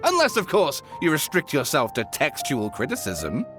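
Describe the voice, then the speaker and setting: A man's voice: fairly high pitch, wildly swooping pitch, normal speed, a bit bright, soft background music. An actor playing an eager young male amateur historian and writer who talks in a theatrical way and loves dramatic flourishes.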